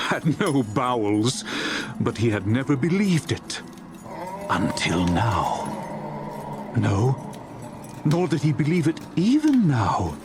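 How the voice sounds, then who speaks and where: A man narrating a dramatized classic story in a theatrical, suspenseful, deliberate way. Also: mid-range voice, noisy background.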